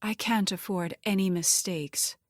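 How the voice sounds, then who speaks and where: A woman's voice: medium pitch, steady tone, a little slow, dry recording, clean studio sound, natural voice. A woman voicing a scheming, threatening female crook in an audiobook, with a taunting, condescending manner. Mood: hard, suspicious. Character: scheming, threatening, vain woman crime boss's underling.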